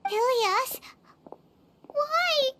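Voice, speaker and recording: Tiny childlike game fairy: extremely high, squeaky, feisty, swooping intonation, music loud underneath. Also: female voice, lively intonation, extremely slow, heavy background noise, exaggerated voice. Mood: pleading. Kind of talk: scripted video game voice acting.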